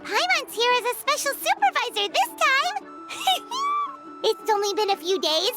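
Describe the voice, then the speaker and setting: A woman voicing a small, childlike fairy companion in a game, squeaky, cheerful and boastful, with an excitable manner. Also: a little slow, over loud music, exaggerated voice. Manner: excitable, refers to herself by name. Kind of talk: animated game voice acting.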